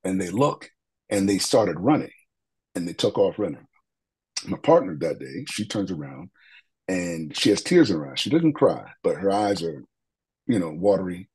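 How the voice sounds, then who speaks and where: A man with a deep voice, a guest in an interview, telling an earnest, reflective story with frequent fillers and restarts. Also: big pitch swings, moderate pace, a little mellow, low-quality recording. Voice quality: deep, husky voice.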